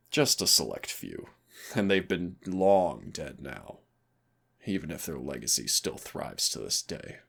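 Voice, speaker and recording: Man voicing a very deep, gravelly teasing demon. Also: fairly bright tone, exaggerated voice. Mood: dry, measured. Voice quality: gravelly, low. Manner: slow, smug, teasing, addresses listener directly. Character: teasing male demon roommate, menacing yet tender trainer. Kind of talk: intimate audio roleplay drama.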